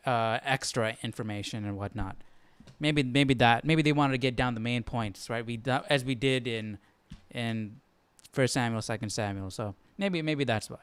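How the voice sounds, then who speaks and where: A man's voice: mid-range voice, a bit bright, clear, resonant tone, dry recording. A man hosting a Bible study, talking casually, thinking aloud with questions and reading passages out. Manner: musing aloud, asking questions. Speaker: host of a Bible study stream.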